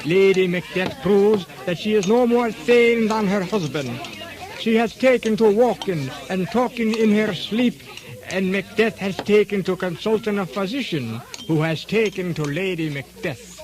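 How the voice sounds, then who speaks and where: A wry storyteller narrating a radio spoof in a high voice. The reading is scripted, with a clear crowd sound behind it. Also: male voice, unhurried.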